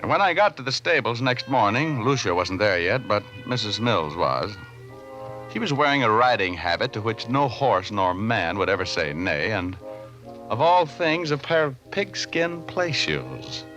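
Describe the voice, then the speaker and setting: Hard-boiled radio private eye, 1940s style. Forceful, lively delivery, cocky wisecracks, music on a vintage broadcast. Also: male voice, on the low side, measured pace, rough recording. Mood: wry narration. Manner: wisecracking, slangy first-person narration and banter. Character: wry, hard-boiled male private detective, flirtatious and cocky. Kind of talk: 1940s radio detective drama acting.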